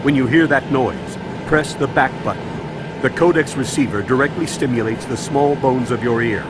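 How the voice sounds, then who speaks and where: A man's voice: mid-range voice, normal speed, lightly acted. An actor playing a male military commander in a video game, giving methodical instructions. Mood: instructive. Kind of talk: video game cutscene voice acting. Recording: music behind the voice.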